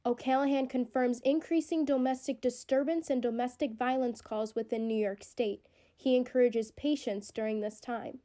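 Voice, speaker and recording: A woman's voice: fairly high pitch, steady tone, moderate pace, warm tone. A woman news reporter delivering a scripted television news report.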